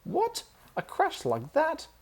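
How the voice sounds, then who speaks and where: A man's voice: very high voice, wildly swooping pitch, takes it slowly, a little mellow, pitched above the performer's own voice, exaggerated voice. A man voicing a fussy, easily outraged middle-aged aunt in a children's story, exclaiming and nagging indignantly. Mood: alarmed.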